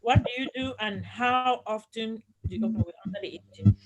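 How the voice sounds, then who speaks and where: A woman's voice: a little high, a little fast, dull audio, over music. A woman hosting a couples panel at a live event, playfully putting questions and echoing answers back with exclamations. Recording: noisy live event recording with clicks.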